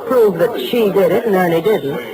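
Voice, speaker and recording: An actress with an American accent playing a hesitant, coy, evasive widow in a 1940s radio detective drama. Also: female voice, medium pitch, moderate pace, a crowd in the background, lightly acted. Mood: catty, defensive. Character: flustered, evasive newly widowed American woman client. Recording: noisy old-time radio recording.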